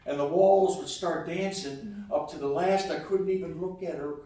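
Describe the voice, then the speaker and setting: A man's voice: on the high side, moderate pace, warm tone, natural voice. A man playing a genial, stubborn old grandfather in a live radio comedy, folksy and plainspoken. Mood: tender, wistful. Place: live stage performance in a large echoey room. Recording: very roomy, distant live hall recording.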